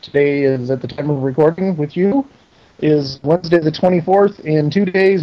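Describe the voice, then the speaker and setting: A man being interviewed as a game maker, chatting casually and explaining details with pauses to think, over an internet call. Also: a little high, dark tone, dull audio.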